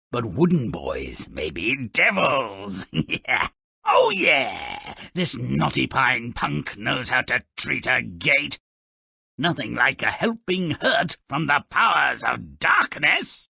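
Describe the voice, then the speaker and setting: Video game narrator role: malicious, sardonic gnome, raspy and forceful, slow relishing delivery of dark fairy tales, exaggerated and mocking. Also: male voice, mid-range voice, dry recording, studio quality, full character voice. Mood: sinister glee. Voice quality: raspy, gravelly.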